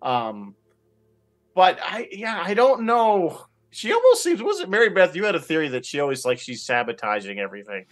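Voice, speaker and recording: A man's voice: a little high, wildly swooping pitch, moderate pace. A man giving his opinions as a panelist in a casual roundtable, in a rambling way.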